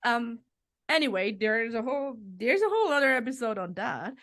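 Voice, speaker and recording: A woman in her late thirties talks frankly in a relaxed conversation, her voice sitting a little high. She swears offhandedly and breaks into laughter now and then, keeping the tone loose and candid. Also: normal speed. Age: 30s. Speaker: podcast host being interviewed.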